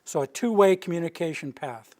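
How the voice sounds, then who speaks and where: An older man near retirement, from the Rocky Mountain region, giving an informal public presentation in a meeting room with a steady, monotone delivery. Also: fairly high pitch, fairly quick. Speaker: utility planning director giving a public presentation. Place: meeting room with a live audience.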